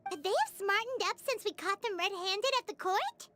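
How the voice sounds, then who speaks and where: Squeaky, tiny fairy companion. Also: female voice, normal speed, background noise. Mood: indignant, alarmed. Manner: squeaky, excitable. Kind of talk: video game voice acting.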